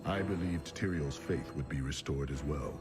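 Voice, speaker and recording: A man's voice: rumbling low, normal speed. An actor playing a resolute demon-slaying hero in a fantasy game, stern and solemn in his declarations. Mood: grave determination. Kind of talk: dramatic fantasy game narration and dialogue. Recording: loud dramatic music behind.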